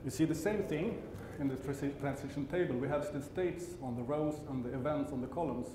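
A man's voice: mid-range voice, a little fast, mellow. A middle-aged man from Sweden with a Swedish accent, presenting a technical conference talk on stage, often stopping to correct himself, with dry asides. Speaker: conference speaker. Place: large conference hall. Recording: roomy hall sound with background hum.

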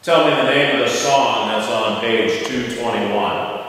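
A man in his thirties, a paranormal investigator, slowly calling out questions to spirits in a large empty church, heard from across the room. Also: mid-range voice, fairly bright tone. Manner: slow, deliberate questioning. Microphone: handheld device, camera across the room. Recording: echoey church, distant camera, noise.

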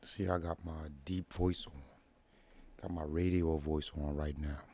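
A man's voice: a bass voice, steady tone, unhurried, mellow. A man telling stories in a casual monologue, with laid-back street slang, close to the mic. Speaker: podcast host.

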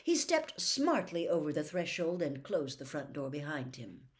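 A woman in her sixties reading a novel aloud as a storyteller, with dramatic, deliberate phrasing. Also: deep voice, sing-song. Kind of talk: expressive audiobook-style reading of a novel. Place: small furnished room at home.

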